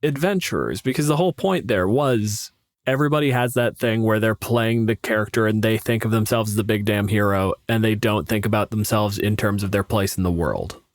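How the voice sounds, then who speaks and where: A man's voice: lowish voice, brisk, no room sound, clean studio sound. A man co-hosting a podcast in casual chat, explaining things in a wry, sweary way. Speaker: podcast co-host and gamemaster.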